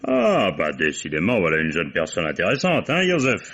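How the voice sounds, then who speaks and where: An actor playing a shrewd, unhurried police commissioner in a French radio mystery, courteous and probing. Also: male voice, low voice, huge pitch swings, fairly bright tone, full character voice. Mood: probing, dry. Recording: dull old radio recording.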